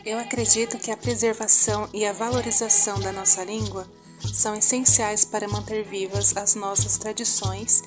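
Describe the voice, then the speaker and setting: A woman's voice: mid-range voice, level tone, normal speed. Young woman reading over background music. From Pernambuco, Brazil. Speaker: university student. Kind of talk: read personal statement. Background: clear background music.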